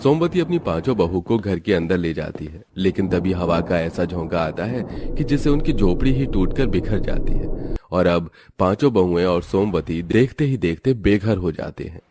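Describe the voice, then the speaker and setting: Low-voiced male story narrator. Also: expressive, moderate pace, noisy background.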